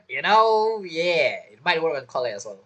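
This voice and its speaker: A man's voice: on the high side, big pitch swings, moderate pace, fairly bright tone, dull audio. A young man doing casual, joking playthrough commentary, reacting aloud to a video game. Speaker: gaming streamer.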